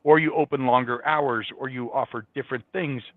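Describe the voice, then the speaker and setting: A man's voice: mid-range voice, fairly even intonation, a little fast, no room sound. A man giving conversational, explanatory answers as a guest in a business interview. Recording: dull, band-limited, dry sound.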